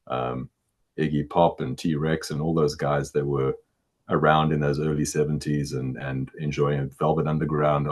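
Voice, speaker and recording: Man, middle-aged, extremely low baritone, casual interview answers, repeats words, halting. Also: slightly rough. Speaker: musician interviewee.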